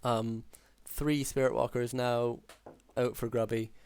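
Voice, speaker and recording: A man calling a strategy game match alone, talking through plays with many ums, his voice hoarse after hours of casting. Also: mid-range voice, takes it slowly, dry recording. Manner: steady, filler-heavy analytical play-by-play. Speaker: game caster. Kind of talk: solo esports play-by-play commentary.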